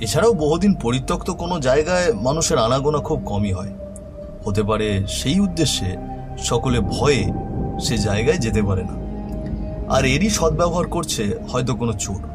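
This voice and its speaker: A man narrating a scripted horror story in an expressive, dramatic way. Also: medium pitch, fairly quick. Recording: music bed behind voice.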